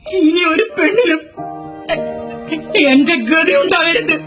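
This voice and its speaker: Actress doing a wronged young woman in a radio play, really high voice, slow bitter tearful delivery, loud music behind. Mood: tearful defiance. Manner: tearful, bitter, breaking into shouts and sobs. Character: betrayed, exploited lover, anguished furious young woman. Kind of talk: melodramatic radio drama acting in Malayalam.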